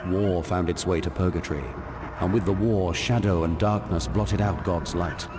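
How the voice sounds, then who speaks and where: A solemn lord of angels from a video game, played by a man. His voice is very low and he declaims with stately gravity. There is clear noise behind him. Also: close to an everyday voice. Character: solemn, noble warrior archangel, lord of purgatory.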